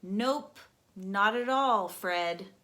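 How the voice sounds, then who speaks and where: A woman's voice: medium pitch, drawn-out words, fairly bright tone, voice pushed down. A woman voicing a small, cheerful male dog in a children's storybook, in a chirpy sing-song delivery.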